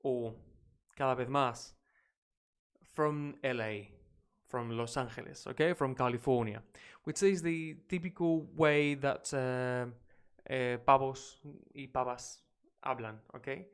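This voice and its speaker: Energetic Spanish man teaching English. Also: medium pitch, takes it slowly, clear, resonant tone. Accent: British-leaning English. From Spain. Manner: energetic, chatty, reacting to clips. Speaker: English teacher streaming live. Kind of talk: live-stream lesson, switching between English and Spanish.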